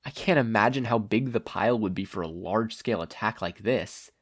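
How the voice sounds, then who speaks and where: A man voicing an earnest, excitable teenage boy hero who is easily amazed, in a story reading. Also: fairly low pitch, a little fast, close to an everyday voice.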